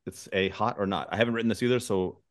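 A man's voice: lowish voice, fairly even intonation, fast talker. A man hosting a podcast in a jokey, informal, conversational way. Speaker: podcast host. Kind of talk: casual comic chat.

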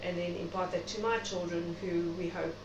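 A woman's voice: on the low side, steady tone, moderate pace, lo-fi sound. A middle-aged South African woman speaking reflectively and chattily as a guest in an interview. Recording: noisy, echoey room, distant mic.

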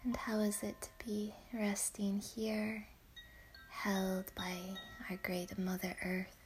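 A woman whispering a guided yoga nidra meditation in a hushed, soothing way. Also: mid-range voice, level tone, slow pace, slight background noise.

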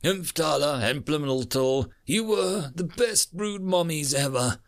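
Audio story role: injured alien marine played by a male narrator, raised swooping pitch, worn out, devoted, halting. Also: lively intonation, creaky, full character voice. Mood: tender, farewell. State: exhausted, in pain. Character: wounded male alien marine, devoted, brave, wryly joking.

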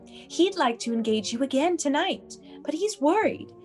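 Very high, theatrical prim matron played by a woman. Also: animated, moderate pace, darkish tone, faint music behind. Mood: coaxing. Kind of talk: comedic radio play acting.

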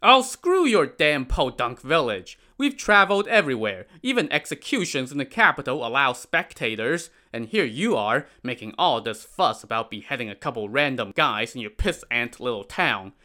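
A man's voice: medium pitch, animated, normal speed, few pauses, bright, forceful tone. A man voicing brash traveling medicine peddlers who mock the guards with crude talk. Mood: scornful. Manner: mocking, crude.

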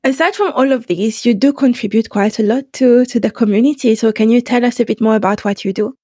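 A woman's voice: on the high side, a little fast, mellow, breathy edge, no room sound. The upbeat woman you would hear welcoming guests on a careers podcast, recorded close and dry. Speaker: podcast host. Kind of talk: conversational podcast hosting.

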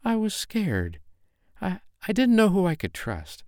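A man's voice: wildly swooping pitch, measured pace, no echo, clean studio sound, full character voice. A man doing a tearful, frightened young woman. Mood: tearful pleading. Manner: soft, pleading, voice raised high.

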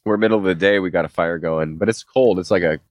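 A man's voice: low-pitched, quick pace, no echo. A man co-hosting a podcast, talking in a laid-back, rambling way with a stuffy, nasal voice from a cold. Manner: laid-back, rambling, swears casually, laughs often. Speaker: podcast co-host. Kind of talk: casual chat.

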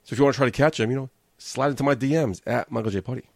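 A man's voice: medium pitch, racing, creaky, no room sound, studio quality. A man, hosting a casual solo podcast, chatty and joking with his listeners. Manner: chatty, self-deprecating, joking asides to listeners. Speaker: podcast host.

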